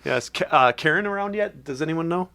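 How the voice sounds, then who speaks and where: Animated, rambling podcast host man. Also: a little high, vocal fry. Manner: rambling, quick, animated storytelling. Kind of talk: casual comedic banter. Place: basement studio.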